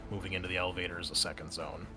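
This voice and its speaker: A man acting as game master, talking through rules and narrating casually, often halting as he thinks aloud. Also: low voice, little pitch movement, sharp tone. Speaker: game master of an online tabletop game. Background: music.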